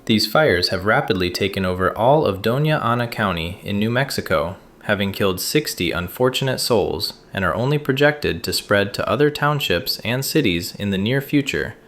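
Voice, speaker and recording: Low-voiced somber news anchor played by a man. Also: expressive, normal speed, hardly any pauses, fairly bright tone, a little hiss or hum. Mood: somber, formal. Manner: formal, solemn. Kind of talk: scripted news bulletin.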